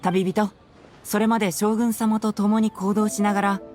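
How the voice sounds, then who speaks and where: A man playing a clever, composed, boyish young detective in an anime game, calm and confident. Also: sits high, fast, a little hiss or hum, natural voice. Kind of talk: Japanese anime game voice acting.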